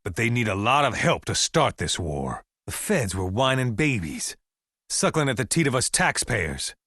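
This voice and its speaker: An actor playing a paranoid, defiant American survivalist camp leader in a video game, delivering conspiracy monologues in an emphatic, folksy, ominous way with weighty pauses. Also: male voice, mid-range voice, big pitch swings, a bit bright, no echo, clean studio sound. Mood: indignant, conspiratorial.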